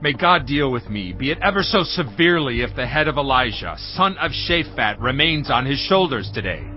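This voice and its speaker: An actor playing a distraught, wrathful king of ancient Israel in a dramatised audio Bible, declaiming gravely. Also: medium pitch, very animated, normal speed, lightly acted. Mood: anguished anger. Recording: dull, muffled audio over music.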